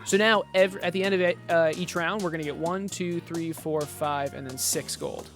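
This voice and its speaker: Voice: medium pitch, fairly even intonation, moderate pace. Game dev casually walking thru their game, fixes own words as they go, music playing behind. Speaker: game developer demoing own game. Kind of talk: casual gameplay commentary.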